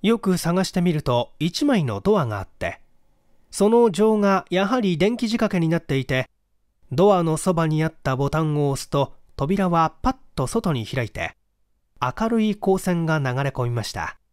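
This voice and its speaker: A man reading a classic detective story aloud as dramatic, measured, expressive scripted narration. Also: a little high, no echo, clean studio sound. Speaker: audiobook reader, stage actor.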